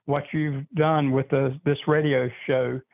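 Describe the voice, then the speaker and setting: A man's voice: mid-range voice, little pitch movement, unhurried, vocal fry. Older man on a tinny phone line. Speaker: radio show guest. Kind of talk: conversational radio interview.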